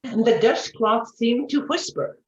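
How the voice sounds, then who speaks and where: A woman reading scripted storybook narration in an animated way. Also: a little high, measured pace. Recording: muffled, echoey room recording.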